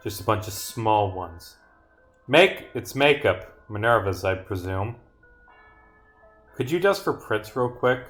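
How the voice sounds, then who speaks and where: A man live-streaming his own game, reading dialogue aloud and rambling as he thinks out loud, with some swearing. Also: fairly low pitch, expressive, takes it slowly, pauses often, soft background music.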